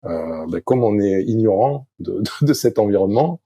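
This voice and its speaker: A middle-aged French-speaking man, a sailing coach as podcast guest, talking slowly with many fillers and restarts. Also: fairly low pitch, animated, warm tone, no room sound, clean studio sound. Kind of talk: podcast interview, thinking aloud.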